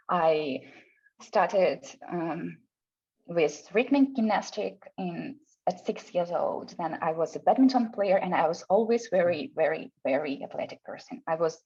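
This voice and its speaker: A woman from Russia with a Russian accent, English her second language, speaking as a guest in a casual interview, often pausing and restarting her phrases. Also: lowish voice, darkish tone, slightly rough, highs cut off. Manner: hesitant, restarts phrases, non-native grammar.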